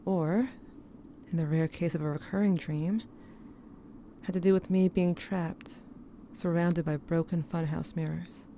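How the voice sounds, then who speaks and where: A woman playing a haunted, grieving diarist in an audio drama, narrating in a measured, brooding and intimate way. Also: on the low side, fairly even intonation, warm tone, slight background noise. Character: haunted, grieving diarist recounting dreams to a lost sibling. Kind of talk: scripted first-person audio drama narration.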